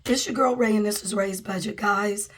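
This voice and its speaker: A woman's voice: medium pitch, normal speed. A woman with a cold who is losing her voice, chatting casually to viewers as a budgeting vlogger at a table. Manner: chatty, addresses viewers as guys and y'all. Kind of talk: casual vlog chat. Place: indoors at a table, camera overhead.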